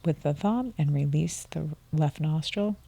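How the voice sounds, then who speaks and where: Soft-spoken woman guiding slow breathing. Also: sits low, vocal fry, husky. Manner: soft-spoken, drops toward a whisper while counting. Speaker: wellness podcast co-host. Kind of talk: calm guided breathing instruction.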